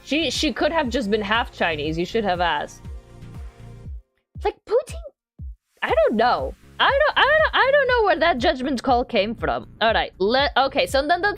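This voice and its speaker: High, animated woman chatting on stream. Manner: playful, rambling, repeats herself. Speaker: livestreamer. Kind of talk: casual chat with viewers while doing crafts on stream.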